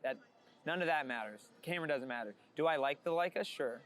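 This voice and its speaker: A man's voice: a little high, animated, quick pace, fairly bright tone. A man, a street photographer being interviewed, talking in a casual, candid way.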